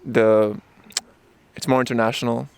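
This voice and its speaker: A man's voice: mid-range voice, level tone, measured pace, gravelly. Young man chatting casually in a park. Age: 20s. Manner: chatty, lots of likes and ums. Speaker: interviewee. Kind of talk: casual outdoor interview. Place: outdoors in a city park.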